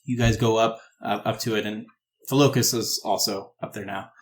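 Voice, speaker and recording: A man narrating a tabletop game as game master, improvising descriptions in a halting, descriptive way. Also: on the low side, fairly even intonation.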